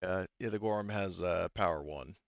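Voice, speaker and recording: A man with a deep-set, resonant voice runs a tabletop roleplaying session. He talks through rules in detail, thinking aloud as he goes. The sound is close and a little muffled. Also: moderate pace, creaky, no room sound. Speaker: game master. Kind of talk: casual tabletop game refereeing. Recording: dull, slightly muffled close recording.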